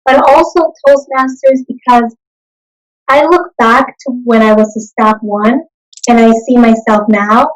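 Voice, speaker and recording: A young woman from Bolivia, a native Spanish speaker, answering interview questions in an earnest, measured way. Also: fairly high pitch, steady tone, poor audio. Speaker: interview guest, consultant.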